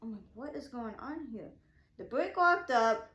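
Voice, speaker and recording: A woman's voice: a little high, lively intonation, unhurried, a bit bright. A woman in her twenties telling a story casually, rambling and repeating herself as she quotes her own thoughts. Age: 20s. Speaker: vlogger. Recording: echoey room.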